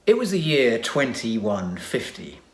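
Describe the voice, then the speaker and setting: A man narrating and introducing a children's story in a measured, announcing way. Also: mid-range voice, big pitch swings. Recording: roomy, echoey sound.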